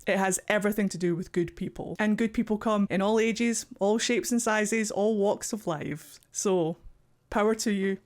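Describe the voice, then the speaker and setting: A man's voice: sits high, moderate pace. Upbeat Scottish guy reacting to clips. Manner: animated, encouraging, swears casually. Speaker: YouTube reaction host. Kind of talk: casual reaction commentary. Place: warm home office.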